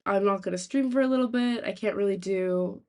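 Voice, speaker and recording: A young woman with a raspy, sore-throated voice, chatting casually to live stream viewers in a halting, rambling way. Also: mid-range voice, dark tone. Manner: halting, rambling, thinking aloud. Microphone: laptop, without usual microphone.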